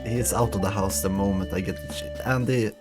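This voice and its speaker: A man's voice: lowish voice, steady tone, fairly quick. A man streaming a video game, chatting casually with friends. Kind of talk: casual gaming chat with friends. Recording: loud game music under the voice. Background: loud video game music.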